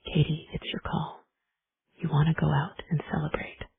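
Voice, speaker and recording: A whispering reader doing a gruff, doting young man. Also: on the high side, level tone, moderate pace, full character voice. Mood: tender, gruff. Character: gruff, doting young male boss and lover. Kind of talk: whispered audiobook dialogue. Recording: tinny phone-like recording.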